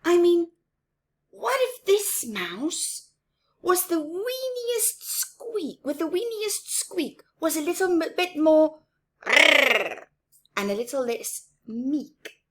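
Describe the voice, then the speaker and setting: A woman's voice: very high voice, very animated, takes it slowly, a bit bright, a little hiss or hum, pitched above the performer's own voice, exaggerated voice. A woman voicing a tiny, hopeful male mouse in a children's storybook, speaking earnestly and emphatically as he thinks aloud. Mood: excited, hopeful.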